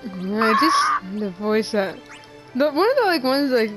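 A young woman chatting casually as she plays a game, excitable, giggly and rambling. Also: fairly high pitch, a little slow, loud music behind the voice. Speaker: gamer. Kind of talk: casual chat over gameplay.

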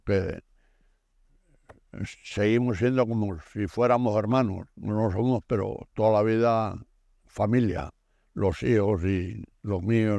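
A man in his eighties a low, clear voice recalls his past for an oral history. He tells it plainly and colloquially, close and dry on the mic. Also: darkish tone, no room sound. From rural Asturias, Spain. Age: 80s. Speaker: emigrant interviewee.

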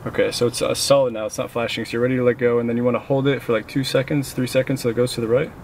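Man, quick calm instructions, patient, car cabin, clear background noise. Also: medium pitch. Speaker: car technician. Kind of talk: step-by-step instructions. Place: inside a car.

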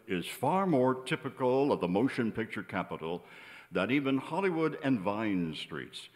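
Older male radio-show announcer, showy and animated, live on a reverberant theater stage. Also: on the low side. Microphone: stand microphone on stage. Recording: echoey theater stage with audience noise.

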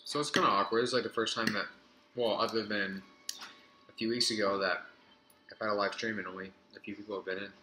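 A young man in his twenties with a lowish voice talks to his viewers in a rambling, chatty way, hopping from topic to topic. He sounds a little distant in a roomy, echoey space with steady background noise behind him. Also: quick pace. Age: 20s. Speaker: live streamer. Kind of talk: casual live-stream chat.

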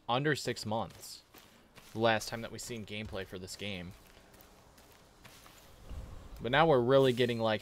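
A young man giving a casual, halting, slangy reaction commentary as a gaming YouTuber at a desk indoors. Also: lowish voice, fairly bright tone. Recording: clear background noise of game ambience.

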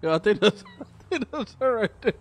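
A man's voice: high-pitched, very animated, a little fast. A man streaming a roleplay game, chatting in a playful, slangy way with a lot of laughter, from an indoor desk mic. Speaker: streamer playing a roleplay character. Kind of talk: casual roleplay banter.

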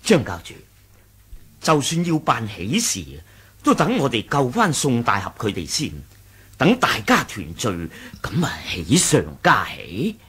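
An actor playing a loyal, deferential senior lieutenant of a martial sect in a Hong Kong Cantonese radio drama, formal and husky. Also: male voice, medium pitch, huge pitch swings, unhurried, sharp tone, heavily acted. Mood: respectful, hesitant. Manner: formal, respectful. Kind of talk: 1980s radio drama acting.

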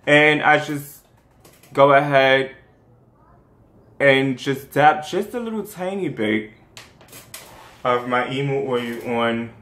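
A man's voice: mid-range voice, slow, pauses often, fairly bright tone. Young new york guy rambling on about his skincare routine, lots of ums and likes, bit of room echo. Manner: rambling, chatty, many fillers and restarts. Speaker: skincare vlogger. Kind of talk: casual how-to vlog.

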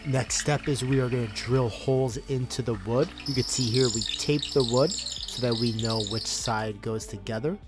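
A man's voice: medium pitch, fairly even intonation, moderate pace. A man giving a casual how-to voice-over, explaining each step as narrator. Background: background music.

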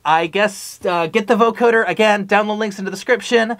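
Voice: medium pitch, fairly quick, a bit bright. A host giving a casual music tutorial with a playful, upbeat, informal way of talking. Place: small cluttered home music studio room.

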